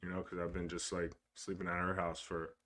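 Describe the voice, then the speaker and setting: Laid-back young man with a very deep voice. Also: completely flat delivery, brisk, bright, forceful tone. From Cuban heritage. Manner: laid-back, rambling, swears often. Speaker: podcast co-host. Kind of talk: casual chat.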